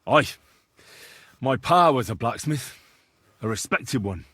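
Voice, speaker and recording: Polite young game commoner, husky, lively pitch. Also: male voice, slow pace, full character voice. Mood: earnest. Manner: polite, plain-spoken. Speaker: player character. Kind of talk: video game voice acting.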